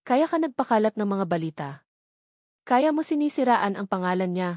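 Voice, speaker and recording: A woman's voice: medium pitch, moderate pace, dry recording, clean studio sound, close to an everyday voice. Woman narrating as a firm, troubled billionaire father. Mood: stern. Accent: Filipino. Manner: calm with firm emphasis. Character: troubled billionaire father, calm but firmly insistent.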